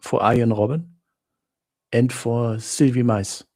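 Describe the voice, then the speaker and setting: A German man with a German accent hosting a casual live question-and-answer stream, rambling with halting restarts and fillers. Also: mid-range voice, fairly even intonation, darkish tone. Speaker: livestream host answering viewer questions.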